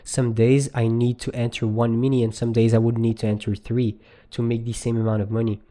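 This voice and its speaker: A man's voice: mid-range voice, level tone, a little fast, dark tone. A young man in his twenties from Quebec with a Quebec French accent, a native French speaker, chatting casually and slangily with viewers on a live stream. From Quebec, Canada. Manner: loose, slangy, laughs easily. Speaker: day trader live streamer.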